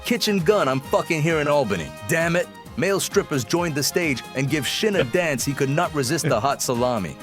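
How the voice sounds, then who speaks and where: A man's voice: fairly high pitch, expressive, a little fast, hardly any pauses, fairly bright tone, faint music behind. A man working as a guest narrator, reading a short comic story aloud dramatically.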